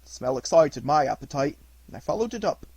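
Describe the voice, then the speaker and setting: A man's voice: on the high side, expressive, fairly quick, a little mellow, husky, dry recording. A man voicing a large, loyal talking dog with an earnest, friendly manner. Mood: explaining.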